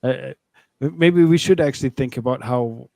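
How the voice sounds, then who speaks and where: A man's voice: medium pitch, moderate pace, creaky, warm tone, dry recording. A man hosting a casual online talk show, speaking in a loose conversational way. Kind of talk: casual political chat.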